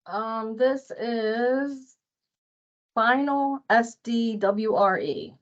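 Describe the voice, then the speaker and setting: A woman's voice: a little high, very slow. A woman presenting informally at a workplace training session. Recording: dull lo-fi meeting audio.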